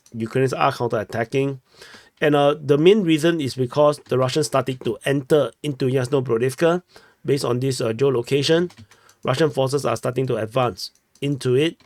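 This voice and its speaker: A man's voice: mid-range voice, dry recording. Chatty Singaporean man, dry room. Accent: Singaporean English. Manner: chatty, self-correcting, ends with "no?". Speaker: war map analyst. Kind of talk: casual map commentary. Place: small room at home.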